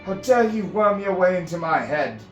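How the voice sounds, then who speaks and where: A man's voice: high voice, very animated, moderate pace, distant mic, lo-fi sound, pitched above the performer's own voice. Man acting furious, clipped young hero, echoing. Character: enraged, grieving young man, defiant and disgusted. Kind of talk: dramatic fantasy storybook reading. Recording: roomy echo, music behind.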